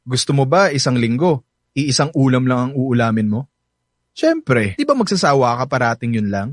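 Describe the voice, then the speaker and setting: Cocky Filipino playboy played by an actor, animated pitch. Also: male voice, normal speed, no room sound, clean studio sound, lightly acted. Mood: teasing, boastful. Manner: boastful, teasing, code-switches into English. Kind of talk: Filipino radio drama acting.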